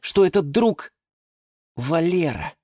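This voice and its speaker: A man's voice: on the high side, sing-song, slow, mellow, dry recording, clean studio sound, pitched above the performer's own voice, full character voice. A man voicing a patient, kind man in a children's story, speaking earnestly and persuasively. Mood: hesitant, resigned.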